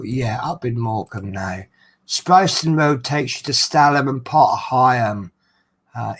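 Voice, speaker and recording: A man's voice: medium pitch, fairly bright tone, a little hiss or hum. Norfolk guy rambling away at home, loads of ums, chatty. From England. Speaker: vlogger. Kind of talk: casual chat while crafting.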